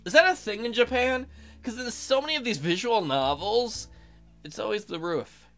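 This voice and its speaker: A man gives casual commentary on a game with an animated, varied delivery. He reads the text aloud and drops in joking, sarcastic remarks, with some music in the background. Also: sits high, normal speed. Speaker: let's play host.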